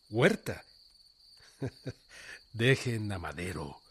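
An actor playing a shrewd, defiant revolutionary peasant general with a gravelly, husky voice in a radio drama. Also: male voice, lowish voice, animated, drawn-out words, a bit bright, a little hiss or hum, full character voice. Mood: incredulous, amused. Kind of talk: Spanish-language radio drama acting.

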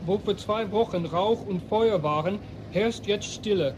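A man's voice: sits high, measured pace, darkish tone, poor audio. A man playing a shaken reporter flying over ruins, in a 1980s radio drama eyewitness report. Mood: appalled. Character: shaken airborne male reporter over ruined city. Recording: vehicle noise behind voice.

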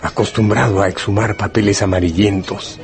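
A brooding narrator of a radio drama , played by a male actor, speaks straight to the listener in a low voice. His delivery is deliberate and dramatic, with music playing clearly underneath. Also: fairly even intonation, close to an everyday voice. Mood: brooding, intent.

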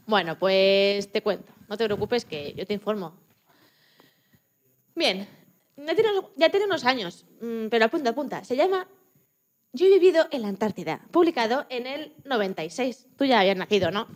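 A woman from playing a lively, joking retired Antarctic scientist in her eighties in a radio interview, often restarting her sentences. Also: fairly high pitch, close to an everyday voice. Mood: chatty, playful. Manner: chatty, joking, halting with restarts. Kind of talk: scripted mock radio interview.

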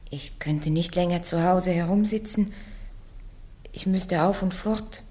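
A woman's voice: fairly low pitch, unhurried, mellow, lightly acted. Actress as a defiant young peasant woman. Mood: earnest, firm. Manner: blunt, defiant, plain-spoken. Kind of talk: radio play acting.

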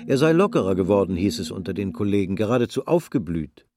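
A male narrator reads literary prose for a radio drama. His voice sits on the low side. The delivery is measured and sober. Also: big pitch swings, warm tone, soft background music.

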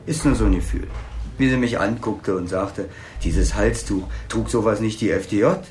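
An actor playing a gossipy, teasing small-town police officer in a naturalistic radio drama, speaking colloquially. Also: male voice, on the low side, moderate pace, a little mellow, slight background noise, close to an everyday voice. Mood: skeptical.

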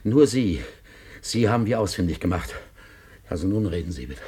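Grave, husky, insistent lawyer. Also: male voice, deep voice, expressive, normal speed, dark tone. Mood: urgent pleading. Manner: terse, pressing, subdued. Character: grave, insistent male lawyer questioning a witness. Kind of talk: 1950s German radio drama acting.

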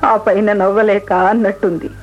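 A woman's voice: mid-range voice, flat delivery, normal speed, slight background noise, lightly acted. An actress playing a vexed, grumbling village woman in a radio play. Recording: muffled old radio recording.

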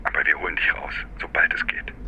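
An actor playing a grim, loyal henchman in a radio drama, speaking in a terse, hushed voice. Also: quick pace, highs cut off, heavy background noise, lightly acted. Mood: grim, urgent. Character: grim loyal henchman in a secret police group.

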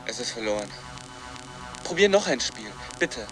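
A man's voice: medium pitch, sing-song, normal speed, over music, natural voice, poor audio. An actor playing a desperate man trapped inside a computer game, pleading urgently in a radio drama.

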